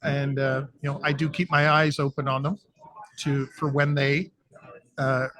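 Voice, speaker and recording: Hoarse Canadian male radio co-host. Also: medium pitch, flat delivery, unhurried, sharp tone, poor audio. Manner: easygoing, hesitant, many fillers. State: hoarse, losing his voice. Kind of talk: casual live radio chat.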